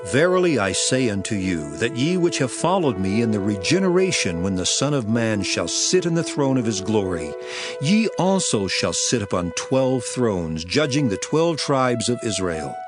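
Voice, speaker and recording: A man playing a solemn teacher of parables in a dramatized scripture recording, speaking in a measured, declamatory way. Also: medium pitch, sing-song, over music, lightly acted.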